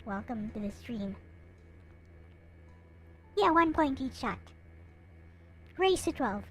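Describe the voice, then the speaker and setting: A woman hosting a live stream in casual chat, rambling along with frequent hesitant pauses. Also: fairly high pitch, sing-song, a bit bright.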